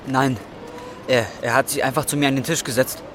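A man's voice: mid-range voice, steady tone, a little fast, close to an everyday voice. An actor playing a down-and-out man in his twenties, blunt, weary and brooding, in an audio drama. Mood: defensive, pleading. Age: 20s. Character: jaded, down-and-out young man, brooding and defiant. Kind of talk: audio drama acting with inner monologue. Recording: faint background noise.

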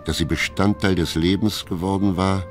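A man performs a calm storyteller for a literary radio play. The voice is exceptionally deep and detached, with faint music behind the narration. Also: moderate pace, natural voice.

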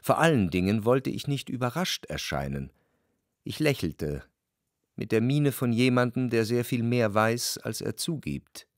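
An actor playing a jealous, introspective gentleman who narrates a literary radio play in a measured, eloquent way. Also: mid-range voice, lively intonation, dry recording, studio quality. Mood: melancholy, wounded.

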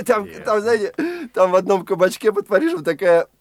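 An actor playing a genial, talkative older family friend who plays peacemaker in a radio play. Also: male voice, sits high, normal speed, lightly acted. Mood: jovial. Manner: jovial, fussy, repeats himself.

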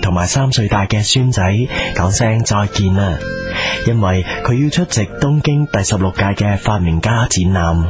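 A man playing a solemn documentary narrator in a spoof, speaking measured, deadpan Hong Kong Cantonese. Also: lowish voice, over music, natural voice. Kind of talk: scripted mock-documentary narration.

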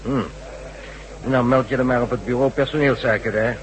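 A man playing a bossy gate guard, brisk and commanding, in a Dutch radio drama. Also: mid-range voice, fairly even intonation, close to an everyday voice. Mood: suspicious. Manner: brisk, barking orders. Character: bossy gate guard checking workers' passes. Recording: faint noisy ambience, old radio play.

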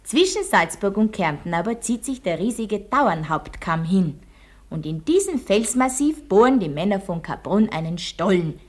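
A woman's voice: mid-range voice, very animated, normal speed. Emphatic Austrian schoolteacher woman telling a tale. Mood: brisk, quizzing. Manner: didactic, quizzing, emphatic. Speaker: actor in a radio play. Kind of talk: radio drama, classroom storytelling.

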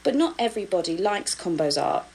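A woman's voice: mid-range voice, very animated, a little fast, darkish tone, breathy edge. A woman working as a radio reporter, describing things and interviewing on location. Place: artist's studio in Paris suburbs.

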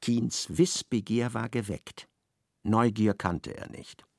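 Gravelly man narrating a radio play, measured and dry, close studio sound. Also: on the low side, dry recording. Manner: measured, ironic storytelling.

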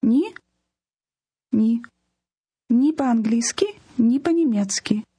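A woman's voice: fairly high pitch, lively intonation, slow pace, dark tone, breathy edge, no echo. A woman modeling phrases in a language lesson, repeating them in parts for practice. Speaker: native-speaker model in a lesson. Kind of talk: scripted lesson demonstration.

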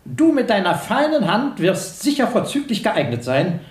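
A man's voice: sits high, big pitch swings, normal speed. An actor playing a pompous, moralizing village schoolteacher lecturing girls oratorically in a German radio drama. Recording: echoey room.

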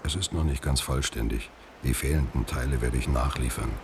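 A man acting a proud, washed-up middle-aged actor in a German radio drama, speaking in a measured, deliberate way. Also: a bass voice, gravelly, background noise. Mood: reflective, composed.

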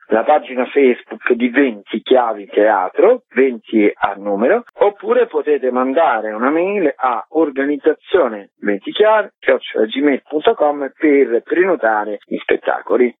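A man giving an explanatory radio interview as a guest festival director, on a remote call. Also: mid-range voice. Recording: muffled echoey phone line, rough sound.

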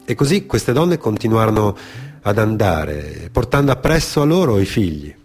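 A man performing a theatrical narrative monologue for radio, in a dramatic, deliberate manner with repetitions and weighted pauses. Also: lowish voice, big pitch swings, a little mellow. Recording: music behind the voice. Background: music.

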